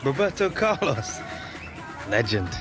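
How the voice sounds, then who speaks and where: Slow-talking young British footballer. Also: male voice, mid-range voice, very animated, music in the background. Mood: wistful, admiring. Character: laid-back, dreamy young footballer. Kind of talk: video game cutscene acting, naturalistic conversation.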